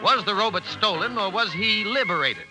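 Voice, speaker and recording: A man's voice: high-pitched, animated, normal speed, music in the background. An actor playing a grave, urgent male newscaster in a 1950s radio drama. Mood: grave, dramatic.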